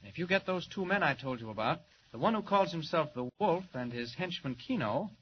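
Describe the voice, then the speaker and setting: An actor playing an earnest, confident young reporter with urgent, assured delivery in 1940s radio style. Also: male voice, a little high, animated, brisk, natural voice. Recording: muffled hissy 1940s radio recording.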